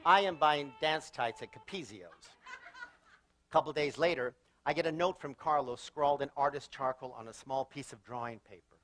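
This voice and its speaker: A man performing a wry theatrical monologue from a one-man stage show, telling his story with dramatic pauses. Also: medium pitch, a bit bright. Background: guitar music.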